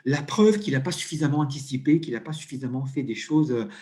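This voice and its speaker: A middle-aged man with an Italian accent, speaking French as an interview guest and pausing often with fillers and restarts. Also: medium pitch, mellow. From Italy. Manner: hesitant, many fillers and restarts. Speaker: interview guest, coach and HR consultant. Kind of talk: conversational interview.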